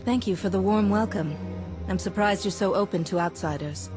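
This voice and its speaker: A woman playing a composed, persuasive and compassionate Jedi heroine in a video game, measured and earnest. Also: mid-range voice, over music, close to an everyday voice. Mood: polite. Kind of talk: video game cutscene acting.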